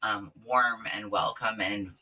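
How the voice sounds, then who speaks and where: A man raised in Kenya, a podcast guest in casual interview chat, talking with many fillers and growing lively as he retells stories. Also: on the low side, slow, recorded from a distance, muffled recording. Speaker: podcast guest, company founder. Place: small closet studio, in person.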